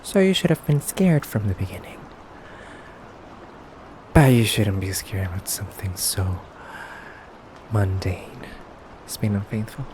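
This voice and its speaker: A man plays a haughty pure-blood vampire questioning his human captive in an ASMR roleplay. He talks slowly, with hesitant pauses and a teasing, condescending politeness. There is clear ambient noise behind the voice. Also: fairly low pitch, darkish tone, close to an everyday voice, rough recording. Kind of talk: intimate ASMR roleplay monologue.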